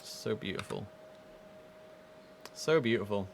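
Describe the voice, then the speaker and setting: A man's voice: fairly low pitch, expressive, slow pace. A British man giving casual, chatty commentary as host of a game livestream, speaking informally and directly to his viewers. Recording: clear background noise and ambience.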